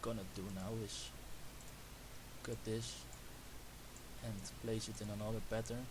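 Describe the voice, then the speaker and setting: Dutch-accented man with a slow, halting delivery. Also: on the low side, level tone. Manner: halting, self-correcting, explains step by step. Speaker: music producer tutor. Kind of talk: screen-recorded production tutorial. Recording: hissy home recording.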